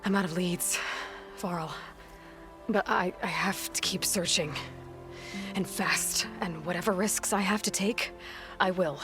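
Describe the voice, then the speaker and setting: An actress playing a driven, solitary young outcast huntress in a video game, speaking in an earnest, halting and intense way. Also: female voice, lowish voice, over music, close to an everyday voice. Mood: grave, resolute. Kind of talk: naturalistic video game acting.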